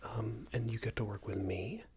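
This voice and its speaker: A man playing a smarmy, passive-aggressive company boss in a soft-spoken ASMR roleplay, hesitant and condescending, often half-whispered. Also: lowish voice, fairly even intonation, normal speed, very noisy, natural voice. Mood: defensive, smarmy. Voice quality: breathy, half-whispered, creaky. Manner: hesitant, hedging, condescending, rambling corporate small talk.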